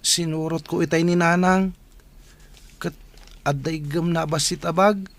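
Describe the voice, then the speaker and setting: A troubled, protective young man in a radio drama. His voice is high for a man and he talks with earnest, suspicious urgency. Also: fairly even intonation, measured pace. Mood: worried, suspicious. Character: troubled, suspicious youth, a protective older brother.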